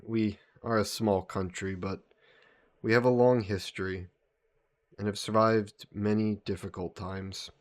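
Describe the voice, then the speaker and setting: A man voicing a gentle, modest aristocratic vampire in an intimate audio roleplay, speaking politely and formally with a wistful streak. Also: fairly low pitch, steady tone, measured pace, lightly acted. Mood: earnest, inviting. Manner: polite, formal, softly apologetic phrasing. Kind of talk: scripted one-sided audio roleplay, intimate and conversational.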